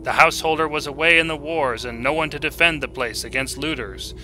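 A man's voice: fairly high pitch, brisk, pushed and bright, faint music behind, rough recording. Man doing a whimpering, ragged old-world beggar. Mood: weary, resigned. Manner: whimpering, rustic archaic speech. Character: cowering, weary ragged male beggar. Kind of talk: dramatic storybook reading.

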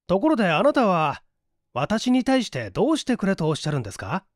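Shrewd, courteous detective acted by a male reader; high for a man, businesslike, assured, audiobook mystery. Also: huge pitch swings, brisk, no room sound, clean studio sound. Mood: composed, assured. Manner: polite, assured questioning.

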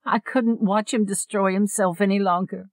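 A woman's voice: mid-range voice, steady tone, moderate pace, no room sound, lightly acted. A woman playing an exasperated, frank and wry estranged wife in an audio drama, talking colloquially. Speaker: voice actor.

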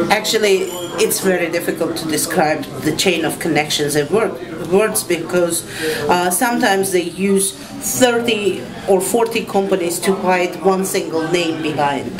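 Hesitant woman journalist, low voice. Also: poor audio. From Azerbaijan. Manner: hesitant, many fillers. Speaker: investigative journalist. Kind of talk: interview answers. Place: indoor room, seated.

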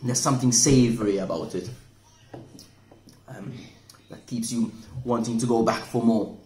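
A man in his forties, casually reviewing a wine at a table indoors, relaxed and thoughtful, often repeating words as he restarts. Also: mid-range voice, measured pace. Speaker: wine shop manager reviewing wine. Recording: echoey room with faint noise.

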